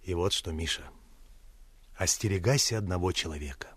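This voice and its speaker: An actor in a Russian radio play playing a gruff but kindly sailor soldier who instructs in earnest, colloquial speech. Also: male voice, sits low, normal speed, fairly bright tone, dry recording. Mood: earnest, warning. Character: gruff, kindly adult Red Army sailor mentor. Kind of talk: Russian radio drama acting.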